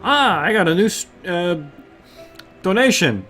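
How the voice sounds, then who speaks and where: Brief: American man, game streamer, unhurried laid-back delivery, soft music behind. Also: high-pitched. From United States. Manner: relaxed, laughs often. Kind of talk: casual gameplay stream chat.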